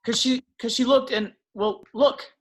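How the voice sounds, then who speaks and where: A woman's voice: fairly high pitch, fairly even intonation, a little slow, a little hiss or hum, lightly acted. A woman reading the part of an urgent teenage boy in a table read.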